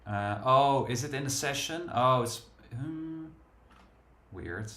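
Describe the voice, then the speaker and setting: A man with a Dutch accent testing software live, thinking aloud in halting, self-deprecating casual chat. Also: medium pitch, echoey room. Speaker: developer testing a tool on screen.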